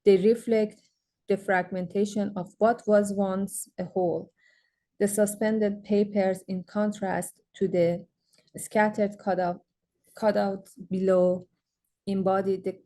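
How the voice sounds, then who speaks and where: A woman's voice: medium pitch, mellow. A young woman with a Persian accent, a native Farsi speaker, giving an academic symposium talk about her artwork, reading prepared text with frequent fillers and restarts. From Iran. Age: young adult. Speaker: graduate student artist presenting.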